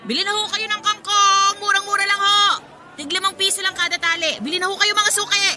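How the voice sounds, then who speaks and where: A woman's voice: very high-pitched, fairly even intonation, brisk, sharp tone, soft background music, a faint crowd, heavily acted. Exasperated, hard-working market mother. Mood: calling out wares. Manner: pleading, scolding, motherly. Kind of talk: Tagalog radio drama acting.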